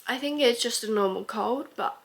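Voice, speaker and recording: A woman's voice: a little high, moderate pace, vocal fry. A young woman chatting casually in a reading vlog, stuffy with a cold and rambling as she thinks aloud. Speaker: book vlogger.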